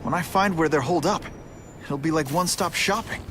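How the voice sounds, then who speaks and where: A man's voice: on the high side, a little fast, fairly bright tone, slight background noise, close to an everyday voice. An actor playing a young superhero who quips and jokes as he works. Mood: quippy. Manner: joking, quippy. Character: young wisecracking male superhero. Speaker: game protagonist.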